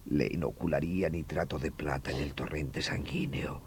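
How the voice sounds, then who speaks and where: A man playing an anguished, deranged killer of his beloved in a radio horror drama, with theatrical, halting delivery between whispers and outcries. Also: a bass voice, fairly even intonation, slight background noise. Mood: hushed confession. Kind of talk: melodramatic Spanish-language radio horror acting.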